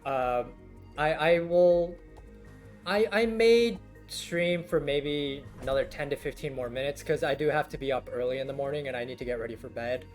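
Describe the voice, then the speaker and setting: A young man streaming a game, chatting to viewers in a halting, rambling way full of fillers, his voice scratchy and worn down from talking for hours. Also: fairly high pitch, soft background music. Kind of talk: casual live-stream chat with viewers.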